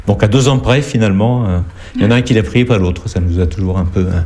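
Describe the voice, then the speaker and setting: Homme âgé, accent du Sud, voix grave, ton amusé et bavard. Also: male voice, fairly low pitch, fast, darkish tone. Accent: southern French. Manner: amused, chatty. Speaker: interviewee. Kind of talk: casual anecdote.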